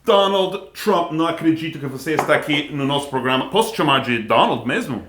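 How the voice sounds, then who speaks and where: American man hosting with lively pitch. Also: a little fast, slight background noise. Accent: American English. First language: English. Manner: mock-enthusiastic, exaggerated surprise. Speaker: talk show host. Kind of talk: mock talk show comedy.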